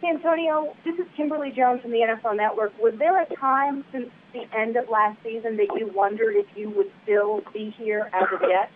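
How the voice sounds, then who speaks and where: A woman's voice: on the high side, a little fast, hardly any pauses. A female network sports reporter on a conference call, asking short, direct questions. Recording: distant phone line with faint hiss.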